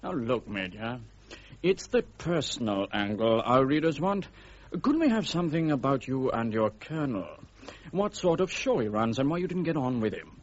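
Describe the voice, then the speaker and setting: An actor playing a pushy, cynical tabloid reporter in a radio drama, slick, insinuating and needling, on a muffled recording. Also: male voice, medium pitch, big pitch swings, moderate pace. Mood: wheedling.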